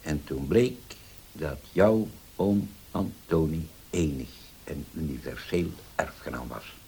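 An actor in a radio play as a grave, troubled widowed father, speaking haltingly and solemnly with long pauses. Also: rumbling low, animated, darkish tone, slightly rough, heavily acted. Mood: grave, recounting. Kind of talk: Dutch radio play acting. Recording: hissy old radio play recording.

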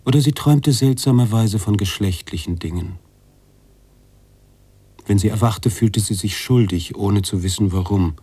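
A man playing a brooding, contemplative narrator-author in a literary radio drama, speaking deliberately with pauses between phrases. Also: fairly low pitch, dark tone, breathy edge. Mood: somber, reflective. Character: brooding male narrator-author, contemplative, troubled by his heroine.

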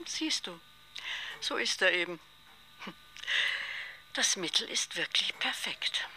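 An actress playing a chatty, self-assured crime novelist in a German radio drama, familiar and confiding in manner. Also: female voice, fairly low pitch, animated, slow pace, bright, forceful tone, slight background noise, full character voice, rough recording.

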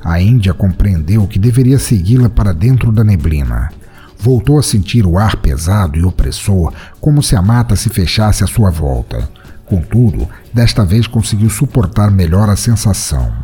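A man with a Brazilian accent tells a scripted tale over faint music. His voice sits fairly low and is warm and dark, in a dramatic storyteller's style. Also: expressive, normal speed, husky. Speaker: narrator of a fiction podcast.

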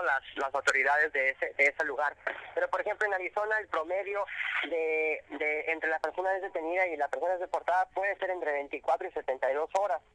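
Man explaining slowly with many fillers. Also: fairly high pitch, bright, forceful tone, rough recording. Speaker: immigration rights guest. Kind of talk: radio interview answers.

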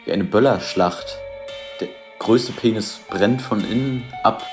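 A man's voice: lowish voice, measured pace. A man informally describing a scene as it unfolds, hesitantly piecing the story together. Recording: music behind the voice.

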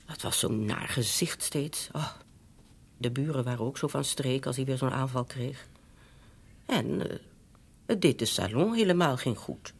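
A woman's voice: rumbling low, animated, a little slow, vocal fry, husky, full character voice. A woman playing a fretful, meddling older mother in a Dutch radio drama, hesitant and nagging as she coaxes. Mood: evasive, flustered. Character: fretful, meddling elderly bourgeois mother, scheming and shame-conscious.

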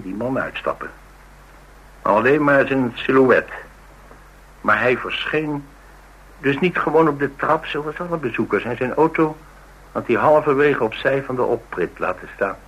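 An actor playing an inquisitive, talkative witness who recounts suspicious events wryly in a radio drama. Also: male voice, medium pitch, expressive, unhurried, fairly bright tone, husky, dull audio. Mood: eager, wry. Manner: wry, colloquial storytelling.

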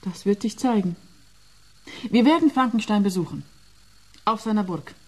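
A woman's voice: mid-range voice, expressive, normal speed, a little mellow, close to an everyday voice. A woman playing a cool, determined agent in a German radio play, composed, businesslike and assertive.

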